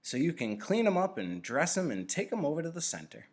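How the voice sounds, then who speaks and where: Guy putting on a cheery cooing dad in a storybook, playful, voice jumps up high. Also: sing-song, fairly quick. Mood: brisk, cheerful. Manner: playful, sing-song baby talk. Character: cheerful father, a nurturer cooing to babies.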